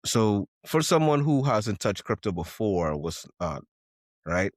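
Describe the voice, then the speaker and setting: A lowish male voice hosting a podcast in loose, casual chat. He rambles, fills his speech with "like" and laughs often. The sound is dry and close, with no room around it. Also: big pitch swings, measured pace, no echo. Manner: rambling, heavy use of "like", laughs a lot. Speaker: podcast host.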